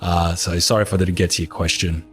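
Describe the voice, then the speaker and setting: Calm low-voiced New man. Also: faint music behind. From New Zealand. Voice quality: calm, soothing voice. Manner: relaxed, unhurried, matter-of-fact. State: hoarse from talking for over an hour. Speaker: gaming video creator answering viewer questions. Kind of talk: casual Q&A chat.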